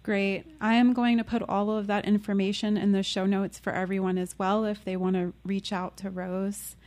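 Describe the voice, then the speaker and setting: A woman host wraps up her podcast in a casual, upbeat way. Her talk is chatty and encouraging, and her pitch sits in the middle range. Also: flat delivery, normal speed, a little mellow.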